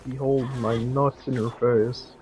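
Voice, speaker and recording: A man's voice: mid-range voice, little pitch movement, a little slow, low-quality recording. A young man in casual chat, teasing a friend with juvenile jokes while watching a game. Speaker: gamer's friend watching play. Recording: faint game music behind.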